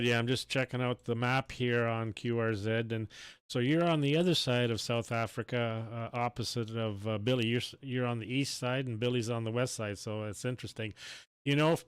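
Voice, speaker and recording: An older man chats as a radio operator, his voice strikingly clear and resonant at an average pitch. He rambles in a folksy, halting way, and the sound is dry with no room around it. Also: no echo. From Canada. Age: 60s or older. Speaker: amateur radio operator and live streamer. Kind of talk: casual ham radio chat.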